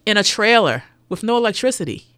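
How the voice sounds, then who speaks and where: A middle-aged woman co-hosting a local radio show, reminiscing in a soft, heartfelt way. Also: medium pitch, big pitch swings, fast, no echo. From United States. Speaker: radio co-host. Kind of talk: casual radio chat.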